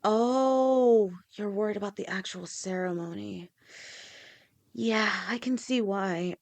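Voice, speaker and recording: Young woman as a patient, protective snake-woman girlfriend in an audio roleplay; soft, reassuring, mid-pitched. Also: takes it slowly. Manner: soft, reassuring, speaks directly to a partner.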